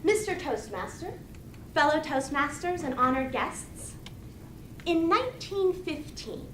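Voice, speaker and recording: A woman giving a rehearsed storytelling speech to an audience, with theatrical pauses and repetition. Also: high voice. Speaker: speech contestant. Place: indoor meeting room. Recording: echoey room, distant mic, faint noise.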